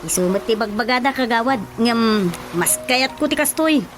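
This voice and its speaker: A woman's voice: on the high side, expressive, moderate pace, music in the background, natural voice. A woman with an Ilocano Filipino accent playing an eager, deferential tomboyish recruit in a radio drama.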